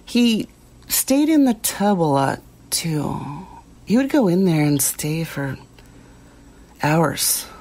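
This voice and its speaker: A low-voiced, slightly husky middle-aged woman in an audio drama documentary. She is a lonely small-town churchgoer, looking back in plain, halting, reflective phrases. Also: very animated, darkish tone, exaggerated voice. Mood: subdued, reflective.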